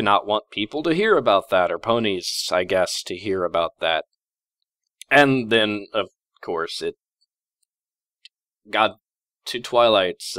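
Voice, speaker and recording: A man's voice: sits low, a bit bright, dry recording, studio quality. A man speaking casually and off the cuff to his listeners as a channel host, in a conversational, rambling way. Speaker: host of a story-reading channel. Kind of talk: casual unscripted commentary to listeners.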